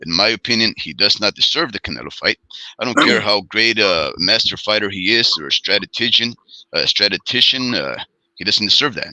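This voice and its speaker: A man co-hosting a boxing talk show, speaking in a measured, hesitant way with many pauses and formal wording. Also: on the low side, lively intonation, bright, forceful tone, no echo, low-quality recording. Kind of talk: measured casual boxing discussion.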